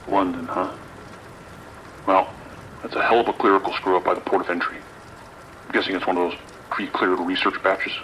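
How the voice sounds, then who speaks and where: A man playing a brisk, authoritative police lieutenant in an audio drama, dry and clipped, with an American accent. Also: sits low, level tone, bright, forceful tone, poor audio. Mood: dry, surprised. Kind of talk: naturalistic audio drama acting. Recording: faint noise, rain and radio behind.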